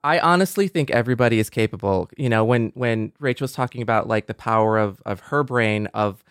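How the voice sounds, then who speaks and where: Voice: mid-range voice, brisk, clear, resonant tone, dry recording, studio quality. A panelist talking casually and earnestly in a discussion, often repeating points for emphasis. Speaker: podcast panelist. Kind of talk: casual panel discussion about science fiction.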